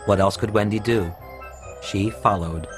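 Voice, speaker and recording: A low-pitched male storyteller reads a children's tale with music playing behind him. His delivery is measured and clearly enunciated, as scripted narration for young listeners.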